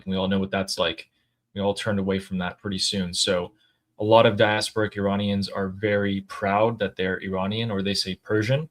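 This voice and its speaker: Low-voiced chatty male stream host. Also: a bit bright. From United States. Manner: chatty, thinks aloud with fillers and throat clearing. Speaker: co-host reading viewer super chats. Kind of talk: casual live-stream chat.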